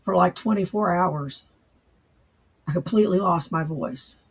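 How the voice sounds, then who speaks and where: A man with a hoarse, raspy voice from laryngitis, rambling and swearing in a casual vlog reply. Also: high voice, a little slow. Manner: rambling, profane, folksy.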